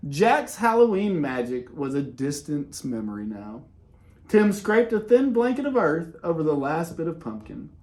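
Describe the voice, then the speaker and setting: A man reading a children's picture book aloud in an expressive way, with dramatic pauses and casual, conversational delivery. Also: fairly high pitch. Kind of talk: animated read-aloud with casual asides.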